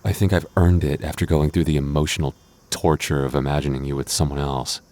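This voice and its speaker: A man's voice: rumbling low, level tone, brisk, a little mellow. A man playing a clingy, jealous boyfriend in an intimate audio roleplay, stammering and mock-indignant but affectionate. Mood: playfully grumbling.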